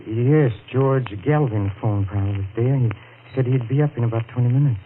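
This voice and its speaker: An actor playing a guilt-ridden, panicky murderer in 1940s radio melodrama style, halting and overwrought, repeating himself. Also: male voice, mid-range voice, natural voice. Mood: anxious, faltering. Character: guilt-ridden, panicky man who has committed a murder. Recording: scratchy, narrow 1940s radio recording.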